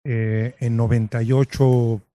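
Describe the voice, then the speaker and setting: A man's voice: medium pitch, level tone, slow pace, dark tone. A man, hosting an interview and framing his questions with conversational remarks. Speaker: interviewer host.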